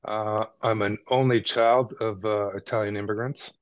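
A man's voice: on the low side, fairly even intonation, normal speed, no room sound. A man from Canada, a podcast guest, telling his story in a casual, conversational way with frequent "right?" tags and small repeats.

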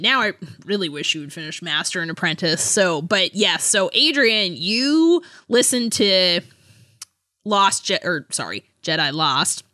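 A woman chats casually as a podcast co-host, in a bright, slightly low voice with very lively intonation. She is giggly and often interrupts herself. The sound is close and dry. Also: no echo. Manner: animated, giggly, self-interrupting. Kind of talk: casual book discussion chat.

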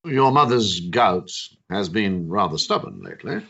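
An actor playing a genial, gossiping older Victorian society doctor, with fussy, hesitant, pompous politeness in a period stage drama. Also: male voice, fairly low pitch, lively intonation, measured pace, a bit bright, slightly rough, lo-fi sound, exaggerated voice. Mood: tactful.

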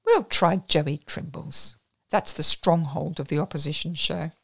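A woman voicing an airy, flippant upper-class gentleman with clipped remarks. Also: low-pitched, measured pace, creaky, over loud music.

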